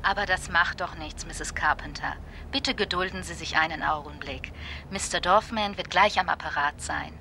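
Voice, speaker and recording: An actress playing a formal, polite telephone receptionist in a radio drama. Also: female voice, on the low side, moderate pace, pushed and bright, slight background noise. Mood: courteous. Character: courteous female receptionist on the telephone.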